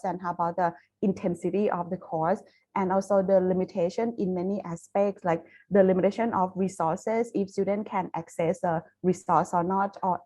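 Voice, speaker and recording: A Thai woman with a Thai accent, speaking English as a foreign language, giving a careful academic webinar presentation with frequent pauses and restarts over a video call. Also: medium pitch, darkish tone. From Thailand. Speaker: university lecturer presenting research.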